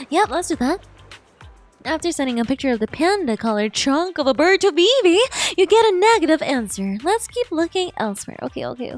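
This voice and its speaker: A woman streaming a game live, reacting playfully and expressively and reading dialogue aloud. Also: high voice. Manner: playful, expressive, cutesy exclamations.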